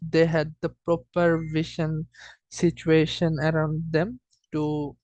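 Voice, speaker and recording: A student of indeterminate gender walks through a data presentation at a slow pace, in a middling-pitched voice. The delivery is halting and informal, with frequent restarts and self-corrections. The sound is dry, with very little room around it. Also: completely flat delivery, darkish tone, no echo, rough recording.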